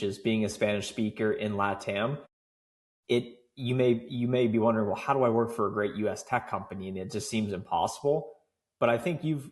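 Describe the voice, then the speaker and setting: A man hosting an interview over a video call, asking long, encouraging questions that frame the guest's story. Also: fairly low pitch. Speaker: host.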